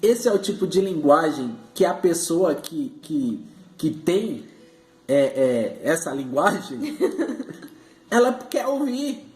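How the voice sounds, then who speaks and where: Mellow Brazilian man in an echoey room. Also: fairly high pitch, big pitch swings, takes it slowly. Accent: Brazilian Portuguese. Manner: chatty, jokey, many restarts and laughing asides. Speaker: co-host, husband and father.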